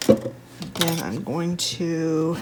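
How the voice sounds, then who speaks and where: A woman with a slightly stuffy, congested voice, giving a casual, chatty home cooking demonstration at an unhurried, thinking-aloud gait. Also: low-pitched, heavy background noise. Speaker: home cook, video host. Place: home kitchen.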